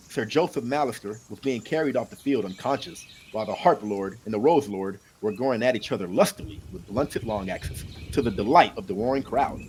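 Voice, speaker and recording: A man narrating an audiobook in an expressive, amateur storytelling style. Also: medium pitch, a little hiss or hum, rough recording.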